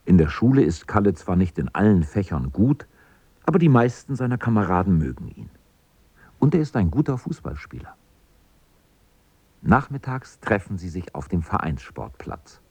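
Deep-voiced man narrating, lively intonation. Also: moderate pace, husky, dry recording. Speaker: radio drama narrator. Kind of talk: scripted storybook narration.

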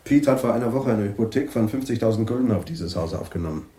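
An actor playing a matter-of-fact, businesslike senior police detective in a radio drama. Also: male voice, lowish voice, expressive, quick pace, mellow, close to an everyday voice.

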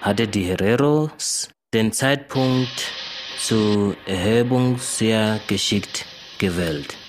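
A reader delivering a historical military account deliberately, in short separated phrases, for a radio documentary play. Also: medium pitch. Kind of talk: very slow, broken reading of a historical military account. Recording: loud music under the voice. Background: music.

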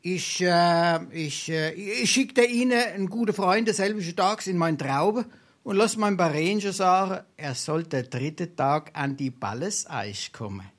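A man's voice: high-pitched, lively intonation, unhurried. A male actor with a Darmstadt Hessian accent playing a wily, boastful penniless scrounger in a dialect stage comedy, theatrical and full of dramatic hesitation. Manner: theatrical, braggartly, hesitating for effect.

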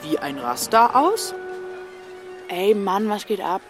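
A woman's voice: mid-range voice, lively intonation, slow pace, creaky. Snappy teen girl, teasing n blunt, audio drama, faint music. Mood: mocking. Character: blunt, mischievous, defiant teenage girl.

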